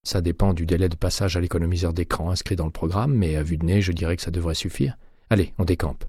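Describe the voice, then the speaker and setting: A man's voice: sits low, fast talker, darkish tone, husky, no room sound, studio quality. A man reading an audiobook, voicing a wary, terse male investigator who speaks in a clipped mutter. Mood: pensive.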